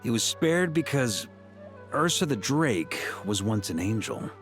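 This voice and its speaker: Formal, expressive knight commander. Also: male voice, mid-range voice, music in the background. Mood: grave storytelling. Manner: formal, measured, authoritative. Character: composed, dutiful male knight leader, grave and measured. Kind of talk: video game dialogue acting.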